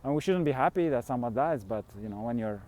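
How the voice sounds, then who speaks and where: A man's voice: medium pitch, animated, fast, a little mellow. A middle-aged man from Switzerland, rambling candidly through a casual vlog monologue with the odd chuckle. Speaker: fasting coach and vlogger.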